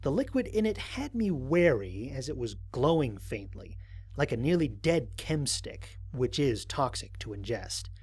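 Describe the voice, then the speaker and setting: A thoughtful male space explorer acted by a man dictates his mission log for an audio drama. He speaks in a measured, articulate way at a middling pitch, sounding reflective and a little wary. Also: sing-song, a little mellow, slightly rough. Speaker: narrator of a fan audio drama. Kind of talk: scripted first-person mission log narration.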